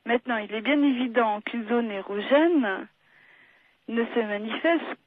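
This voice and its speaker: A woman's voice: on the high side, measured pace, no room sound. A woman doctor explaining things on the telephone in a matter-of-fact, courteous and didactic manner. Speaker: doctor taking a phone call. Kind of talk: explanatory phone conversation. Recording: tinny narrow phone line.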